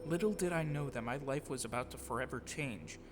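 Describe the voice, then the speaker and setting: A man's voice: mid-range voice, brisk, noisy background. A man giving an earnest, reflective scripted narration of his own life, with short acted dialogue. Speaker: narrator, audio drama producer and actor. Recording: long reverb, clear music behind. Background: music bed.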